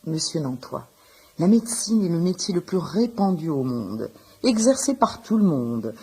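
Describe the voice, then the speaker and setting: Firm, lively-pitched lady doctor. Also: husky, full character voice. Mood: stern, lecturing. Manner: measured, lecturing, dryly ironic. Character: firm, wry female doctor, patient but scolding. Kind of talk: French radio comedy drama acting.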